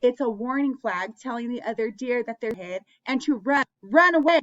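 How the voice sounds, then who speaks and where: A woman's voice: fairly high pitch, expressive, brisk, fairly bright tone, dull audio. A woman hosting an interactive picture-book read-aloud for young children, asking them questions and encouraging them as she goes.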